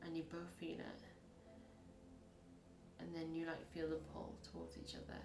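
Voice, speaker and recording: A woman reads tarot in a rambling, chatty way with a husky, low voice. She acts out little scenes as she goes. The room around her is echoey. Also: completely flat delivery, moderate pace, over music. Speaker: tarot reader. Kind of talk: casual tarot reading to camera.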